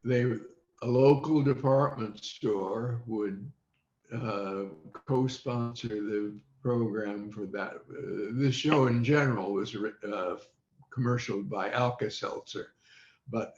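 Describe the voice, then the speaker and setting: An elderly man reminisces unscripted as an interview guest. His delivery is very slow and measured, broken by long pauses. The sound is that of a video call, muffled and noticeably roomy. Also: fairly low pitch, darkish tone. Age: 80s or older. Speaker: interview guest, former radio quiz show child panelist.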